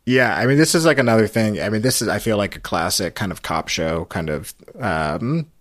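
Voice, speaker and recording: A man's voice: on the low side, expressive, brisk, no room sound, clean studio sound. An American man hosting a podcast, chatting casually through a recap. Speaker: podcast host.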